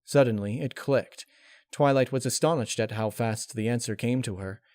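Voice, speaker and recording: A man narrates a story in a scripted storytelling style. His voice sits at a medium pitch, recorded close and dry with no room sound. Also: normal speed, a little mellow, no echo. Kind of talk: scripted narration of fan fiction.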